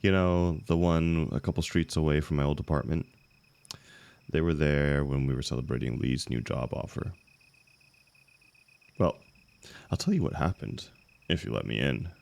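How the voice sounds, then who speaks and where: Very deep, gravelly young heartbreaker, acted by a man. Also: a little mellow. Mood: weary complaining. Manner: casual, halting, emotional, swinging emphasis. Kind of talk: intimate one-sided audio roleplay.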